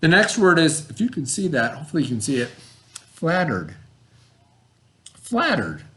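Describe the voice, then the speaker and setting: Man teaching, higher-pitched voice. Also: wildly swooping pitch, moderate pace, fairly bright tone. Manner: conversational, explanatory, checking understanding with questions. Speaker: schoolteacher. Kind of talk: informal read-aloud and vocabulary lesson for a child.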